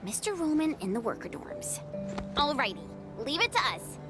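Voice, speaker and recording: A young female frontier relief helper in a video game, her voice fairly high, earnest and upbeat. Music plays clearly behind her as she speaks. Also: sing-song, moderate pace. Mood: cheerful, willing. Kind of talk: video game cutscene acting.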